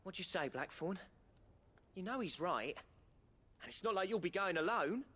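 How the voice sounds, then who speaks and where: An actor playing a friendly, plain-spoken rebel comrade, chummy and coaxing, in a video game. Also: male voice, high voice, animated, moderate pace, a bit bright. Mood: breezy.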